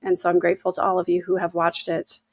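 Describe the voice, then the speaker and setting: A woman's voice: lowish voice, completely flat delivery, fast talker, dry recording. Woman in her fifties, chatty livestreamer. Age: 50s. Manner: rambling, reading chat aloud, many fillers. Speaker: livestream host. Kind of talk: casual livestream chat.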